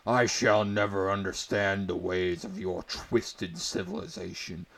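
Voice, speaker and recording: A man's voice: low voice, steady tone, a little slow, a bit bright, exaggerated voice. A man playing a defiant, scornful barbarian swordsman. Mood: indignant, defiant. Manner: indignant, growling declamation.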